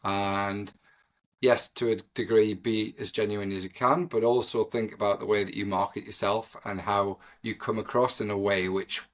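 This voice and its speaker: A man in his forties with a Geordie accent, a podcast guest who rambles with lots of pauses and "you know". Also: fairly low pitch, little pitch movement, fairly bright tone. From North East England. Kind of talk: casual podcast conversation.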